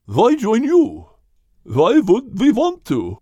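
A man's voice: extremely high pitch, huge pitch swings, slow pace, mellow, slightly rough, no room sound, heavily acted. A man voicing a pompous, arrogant male turkey who scorns others in an animal audiobook. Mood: scornful. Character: haughty, insulting male turkey, scornful and aloof.

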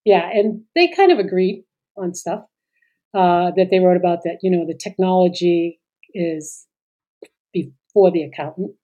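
A woman's voice: lowish voice, a little slow, narrow, tinny audio. A middle-aged woman co-hosting a podcast in casual chat, talking hesitantly with many fillers and trailing sentences. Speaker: podcast co-host.

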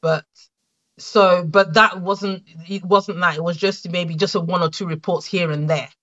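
Nigerian woman telling her story, halting. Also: lowish voice, fairly even intonation, fairly bright tone, lo-fi sound. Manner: reflective, halting, many fillers and restarts. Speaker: guest. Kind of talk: conversational interview.

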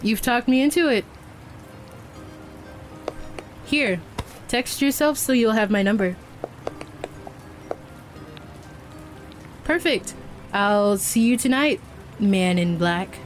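A woman's voice: a little high, lively intonation, unhurried, soft background music. A woman playing a casual, forthright, teasing barista in a naturalistic fiction podcast. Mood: flirty, confident. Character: frank, flirty, casual female barista.

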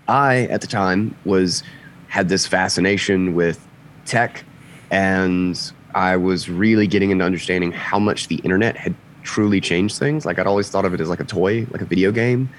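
Low-voiced, slightly congested man chatting. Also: a little hiss or hum. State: slightly congested, recovering from lost voice. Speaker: interview guest. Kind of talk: casual conversational explaining.

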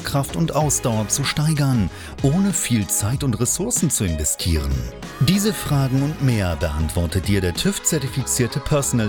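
A man reading a scripted podcast intro with a sweeping, announcer-like delivery. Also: mid-range voice. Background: music bed.

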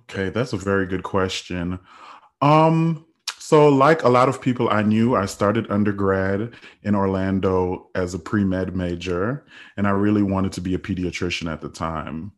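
A man in his thirties from the Virgin Islands, speaking as a guest in a podcast interview in a measured, earnest way with frequent fillers. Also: low-pitched. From United States Virgin Islands. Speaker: guest, health policy analyst.

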